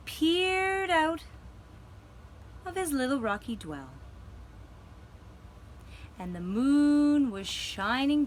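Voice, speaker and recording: A woman telling a gentle children's story for a puppet show, deliberately, with dramatic pauses. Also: on the high side, a little hiss or hum, poor audio.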